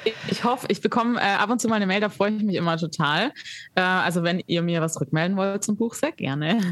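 A woman's voice: mid-range voice, moderate pace. Giggly woman therapist on a call. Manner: warm giggly, many fillers. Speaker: sex therapist, guest. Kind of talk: interview answers. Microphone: video call.

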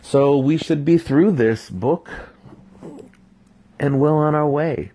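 A man's voice: a little high, slow, dark tone, slightly rough. A man giving a dramatic storybook narration as the host of a reading series.

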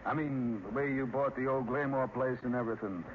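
An actor playing a folksy, curious small-town sheriff in a 1950s radio drama, talking plainly. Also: male voice, mid-range voice, fairly even intonation, brisk, natural voice. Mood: frank. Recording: noisy, dull 1950s radio recording.

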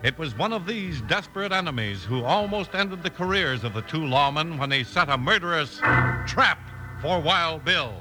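A man's voice: a little high, animated, normal speed, low-quality recording. A man announcing in a scripted, emphatic 1950s radio style. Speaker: announcer and narrator. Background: music.

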